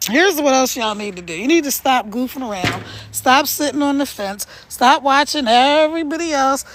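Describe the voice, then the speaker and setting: A woman in her sixties with a gravelly voice, coaching viewers on a casual livestream while walking outdoors at night and talking into her phone. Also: high voice, lively intonation, moderate pace, noisy background. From United States. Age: 60s. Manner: upbeat and pushy, coaxing viewers. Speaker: online marketing coach. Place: outdoors on a mountain road at night.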